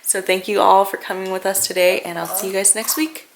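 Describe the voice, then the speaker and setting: A young woman who is a native Vietnamese speaker, chatting casually and haltingly to viewers as a vlogger. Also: medium pitch, level tone, vocal fry. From grew up in a Vietnamese-speaking family. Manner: informal, halting, thinking aloud. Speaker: family vlogger. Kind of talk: casual personal vlog chat. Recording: noisy home-video sound with clicks.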